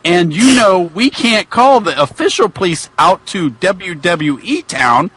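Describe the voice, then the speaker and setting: A man playing an earnest male wrestler pleading for an accused friend, declaiming and halting over the script in a mock-dramatic reading. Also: sits high, expressive, pushed and bright, slightly rough, dry recording, full character voice, low-quality recording.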